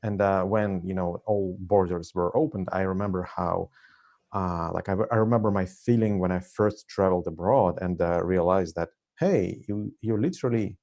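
A man in his forties with a Russian accent and a somewhat low voice, chatting as an interview guest. He hesitates and fills pauses often, breaking into laughs as he goes. His first language is Russian. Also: darkish tone, dull audio. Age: 40s. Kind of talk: casual conversation.

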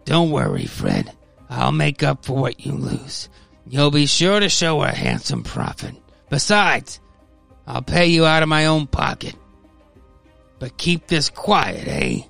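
A vindictive schemer, acted by a man in a radio play style comic reading. The voice is creaky and forceful, with faint music. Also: a little high, huge pitch swings, slow pace, heavily acted. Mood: scheming. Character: scheming vindictive man plotting a rival's ruin.

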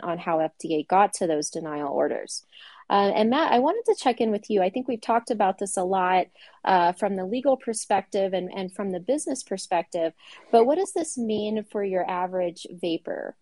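Lower-voiced woman hosting a panel. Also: dark tone, dry recording. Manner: measured, frequent fillers, poses questions to guests. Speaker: podcast host. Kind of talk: casual panel discussion.

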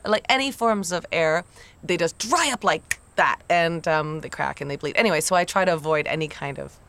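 A woman co-hosting a comedic podcast, playing absurd bits with a deadpan, straight-faced delivery. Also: deep voice, moderate pace, a little hiss or hum. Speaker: podcast co-host.

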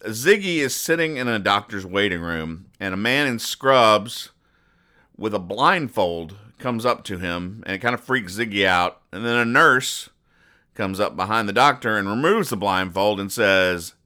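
Low, bright-voiced man rambling in mock earnest. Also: animated, unhurried. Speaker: podcast host. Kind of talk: casual comic-strip commentary, reading out and explaining jokes.

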